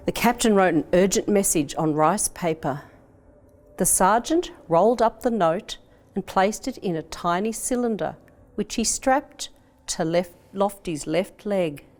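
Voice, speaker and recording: A woman with an Australian accent reads a children's picture book aloud in a measured, expressive storytelling way. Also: mid-range voice. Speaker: education officer reading a picture book.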